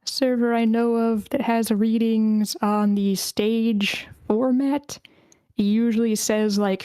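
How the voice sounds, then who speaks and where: A woman's voice: a little high, mellow, clear, resonant tone, no echo. A woman casually hosting an online event, chatty and informal, often thinking aloud. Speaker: host of an online script table read.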